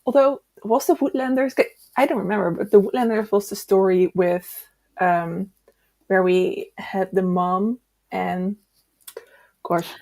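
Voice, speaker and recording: A woman's voice: mid-range voice, fairly even intonation, measured pace, darkish tone. A woman co-hosting a book discussion in a casual, easygoing, chatty way.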